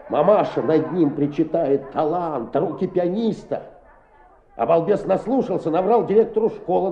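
A man playing a hearty, gruff, hot-headed farm boss and father in a radio play, folksy and repeating his words as he talks. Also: on the high side. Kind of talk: Soviet-era radio play acting. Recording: echoey, muffled old radio recording.

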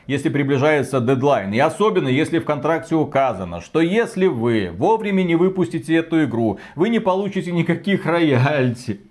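A man with a slightly high voice gives conversational commentary as a podcast co-host. He is blunt and colloquial, stacking up phrases as he argues his opinion. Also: animated, brisk, few pauses, a little mellow. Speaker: co-host of a video game news podcast.

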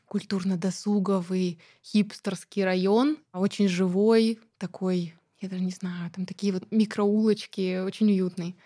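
A woman guest talking in a relaxed interview, chatty and lively, with many fillers and light laughs. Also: medium pitch. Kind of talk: casual conversational interview.

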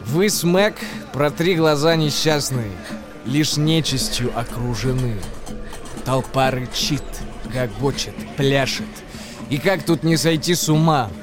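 An actor voices a wry male storyteller in a radio drama. He recites ghoulish verse expressively and with agitation, in a medium-pitched voice. Music plays clearly behind him. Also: slow pace, natural voice. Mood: wry dread. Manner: theatrical, expressive. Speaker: actor narrating a verse tale.

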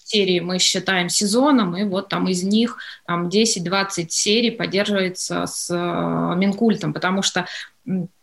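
A woman answering interview questions as an executive, explaining in a measured, businesslike way with hesitant pauses mid-phrase. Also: lowish voice, fairly even intonation, gravelly. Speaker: studio executive, interview guest.

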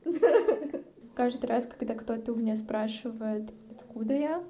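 A woman's voice: fairly high pitch, measured pace, dark tone, slight background noise. A woman from Russia's North Caucasus, speaking as a podcast guest in a reflective, casual chat.

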